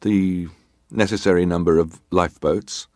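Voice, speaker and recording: An actor playing a terse, guarded ship's officer giving formal, respectful, matter-of-fact testimony in a dramatised hearing. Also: male voice, deep voice, steady tone, normal speed, a little mellow, no echo, clean studio sound, lightly acted. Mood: precise, formal. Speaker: actor reading a witness's testimony.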